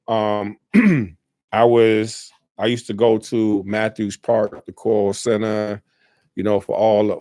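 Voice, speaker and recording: A man's voice: fairly low pitch, darkish tone, no echo. Earnest rambling man, dry sound. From South Side Chicago, USA. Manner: rambling, self-correcting, earnest. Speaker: speaker answering questions. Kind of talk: casual spoken Q&A.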